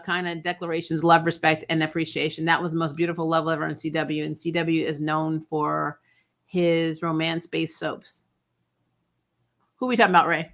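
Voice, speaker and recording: Bright-voiced woman, blunt fan commentary. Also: lowish voice. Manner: blunt, conversational. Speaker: host of a TV recap show. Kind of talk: casual opinionated fan commentary.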